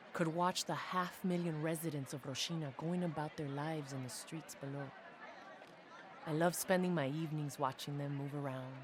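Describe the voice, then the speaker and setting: A woman's voice: low voice, noisy background, close to an everyday voice. A woman playing a young special forces soldier from the slums, telling her story in a confiding, casual game audio log. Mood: reflective, fond. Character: young female special forces soldier, tough favela-raised street kid. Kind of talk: dramatic first-person audio log narration.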